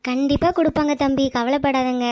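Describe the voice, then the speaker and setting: An honest, poor woman passerby, voiced by a woman in a cartoon, firm and kind. She speaks quickly in a high voice, scolding but well meaning. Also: steady tone, a little mellow, slight background noise, lightly acted. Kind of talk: cartoon voice acting.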